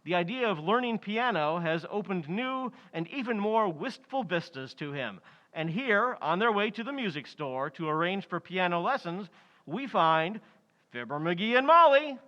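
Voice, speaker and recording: A man's voice: sits high, big pitch swings, normal speed, bright, forceful tone, highs cut off, exaggerated voice. A man playing an old-time radio announcer, narrating the scene in a scripted, theatrical way. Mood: grand, scene-setting.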